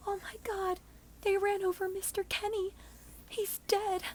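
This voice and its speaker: A woman voicing a frightened, frantic neighbor woman in a hushed, stammering horror-story performance. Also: very high voice, little pitch movement, unhurried, voice pushed up. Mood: panicked. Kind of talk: whispered horror story acting.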